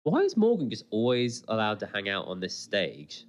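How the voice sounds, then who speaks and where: A man with a slightly low voice co-hosts a casual commentary podcast. His delivery is excitable and exclamatory, with pitch that moves around a lot. He tends to repeat his phrases as he reacts. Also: normal speed.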